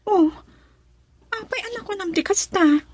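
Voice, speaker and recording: Young Ilocano female confidante for radio drama, very high voice, coaxing. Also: a little slow, darkish tone, no room sound. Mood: curious, surprised. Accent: Ilocano Filipino.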